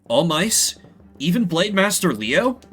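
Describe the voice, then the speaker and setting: A man's voice: sits high, sing-song, slow, a bit bright, soft background music, full character voice. A man voicing an eager, brash young mouse brother in video game dialogue. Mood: timid.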